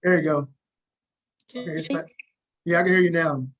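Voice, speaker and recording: Higher-voiced American man hosting. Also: lively intonation, normal speed, fairly bright tone. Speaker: host and playwright interviewing cast. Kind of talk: casual interview over video call. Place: remote video call.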